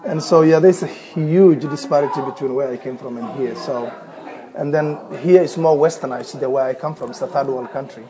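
A man's voice: medium pitch, moderate pace, a crowd in the background, low-quality recording. A man in his thirties from Somalia with a Somali accent, reading a short passage aloud and then talking freely, often hesitating and repeating words. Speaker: accent archive speaker.

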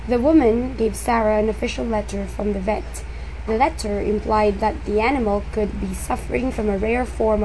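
A woman in her thirties from the Philippines speaks English with a Filipino accent blended with other influences. Her voice sits a little high, and she reads with clear, careful delivery. A faint background hum runs under the recording. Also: darkish tone, low-quality recording. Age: 30s. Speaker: dialect archive speaker. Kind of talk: reading a set passage and casual self-description.

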